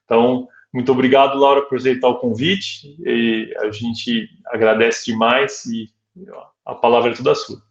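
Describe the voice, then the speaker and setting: A man's voice: medium pitch, little pitch movement, normal speed. A Brazilian man hosting informally and introducing a guest speaker, heard through call-quality audio. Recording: dull, roomy video-call audio.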